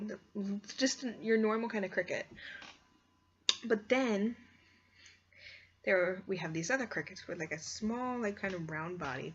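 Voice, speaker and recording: A woman chatting casually and expressively like a video blogger, rambling along with "like" sprinkled through her sentences. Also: fairly low pitch. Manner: expressive, squeamish, exclaims in disgust; heavy use of "like" as a filler. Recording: dull lo-fi sound with clear background noise.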